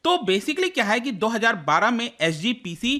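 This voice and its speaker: A male teacher with a high-pitched, forceful voice. He explains in a conversational lecture style, restating his points and putting questions to the listener. English terms are mixed into his speech. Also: very animated, moderate pace. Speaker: teacher presenting current affairs for exam aspirants.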